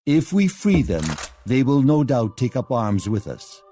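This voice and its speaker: A man playing a devoted older samurai lord in a game cutscene, speaking in a measured, courtly, theatrical way. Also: medium pitch, very animated, mellow, soft background music. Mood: shrewd. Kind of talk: dramatic game cutscene acting.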